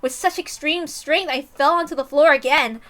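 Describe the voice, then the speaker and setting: A woman narrates a visual novel in a dramatic scripted style. Her voice sits very high and has a bright, sharp tone. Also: normal speed.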